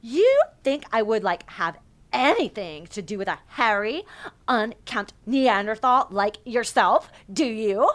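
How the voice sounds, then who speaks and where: A woman's voice: a little high, very animated, a little slow, a bit bright. A woman playing a snobbish, nasty beauty pageant contestant in a comedic audio drama, haughty and sneering, peppering her talk with "like". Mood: scornful, furious.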